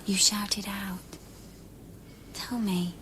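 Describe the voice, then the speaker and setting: A woman acting a fragile, anxious lover in intimate radio drama dialogue, speaking softly, often close to a whisper. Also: mid-range voice, flat delivery, drawn-out words. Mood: concerned, searching. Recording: clearly audible background noise and ambience.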